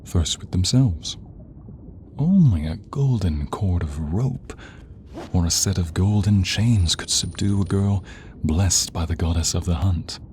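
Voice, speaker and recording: A man narrating a scripted ASMR story in a dramatic, hushed manner that often drops into a whisper. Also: deep voice, unhurried, a little hiss or hum. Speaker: narrator of an audio roleplay.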